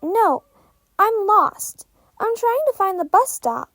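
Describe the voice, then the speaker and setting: A woman's voice: extremely high pitch, big pitch swings, takes it slowly, fairly bright tone, no room sound, pitched above the performer's own voice, heavily acted. A woman voicing an earnest, naive nine-year-old boy who is lost and frightened in an audiobook-style story.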